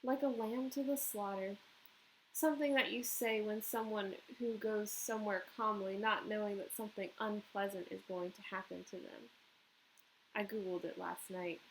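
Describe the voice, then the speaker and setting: A woman's voice: mid-range voice, steady tone, moderate pace. Woman reading aloud, noisy background. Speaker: teacher reading aloud to pupils. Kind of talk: children's book read-aloud with commentary.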